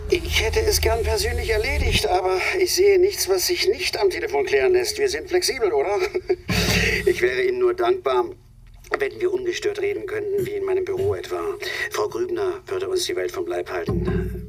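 An actor playing a smug middle-aged boss in a radio drama, measured, condescending and politely needling. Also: male voice, mid-range voice, natural voice. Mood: smugly needling. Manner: measured, hesitant openings, condescending. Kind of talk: naturalistic radio drama dialogue. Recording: noisy ambience behind voice.

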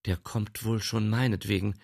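A man voicing a timid young woman, soft and hushed. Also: on the low side, steady tone, moderate pace, creaky, pitched above the performer's own voice.

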